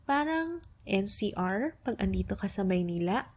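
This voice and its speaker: A young woman with a Filipino accent playing an affectionate, playful girlfriend in a soft ASMR roleplay, patiently tutoring her partner. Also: medium pitch, very animated, gravelly, husky, exaggerated voice. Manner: teasing, tender, patient, explains words slowly. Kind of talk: soft ASMR roleplay, Tagalog lesson mixed with English.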